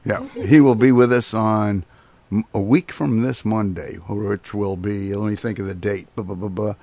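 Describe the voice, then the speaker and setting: Male radio host, low gravelly voice, dry close sound, chatty, steady affirmations. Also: moderate pace, dry recording. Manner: conversational, prompting with frequent affirmations. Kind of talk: radio interview. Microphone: studio microphone.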